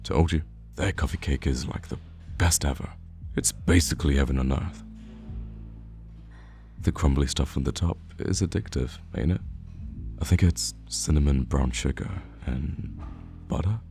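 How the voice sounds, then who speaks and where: Male actor as playful, teasing young guy friend for audio roleplay; extremely low, gravelly voice, casual animated banter. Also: moderate pace, faint music behind. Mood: casual, enthusiastic. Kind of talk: conversational ASMR roleplay acting.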